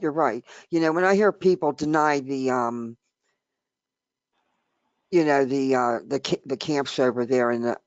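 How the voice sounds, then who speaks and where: A man in his sixties from the area near Washington D.C., speaking in a halting, emphatic way with many restarts during a casual group discussion. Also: medium pitch, no room sound. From United States, near Washington D.C. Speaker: open mic speaker. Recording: dry, dull lo-fi recording.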